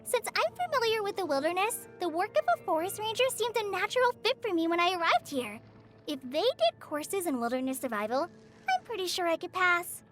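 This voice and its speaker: A woman's voice: very high-pitched, sing-song, brisk. An actress playing a shy, earnest teenage girl forest ranger trainee in a video game, hesitant and easily flustered. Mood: chatty, modest. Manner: hesitant, stammering, apologetic. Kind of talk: video game character voice lines. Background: background music.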